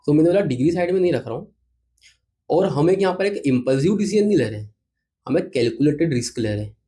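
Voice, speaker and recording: A man's voice: fairly high pitch, animated, quick pace, warm tone. A man from India with an Indian accent, answering interview questions in a polite, explanatory, conversational way. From Indore, India. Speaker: interview guest, entrepreneur. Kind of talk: conversational interview answers mixing Hindi and English.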